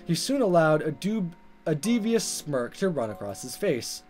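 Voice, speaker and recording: A man reading visual novel text aloud as a let's player, in expressive narration. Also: a little high, normal speed. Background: game music.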